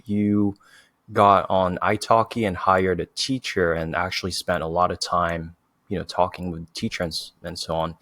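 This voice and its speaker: A man hosting a conversation, speaking English as a second language with an acquired American accent, relaxed and measured. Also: deep voice, dry recording, clean studio sound. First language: not English. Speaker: host. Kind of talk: casual conversation.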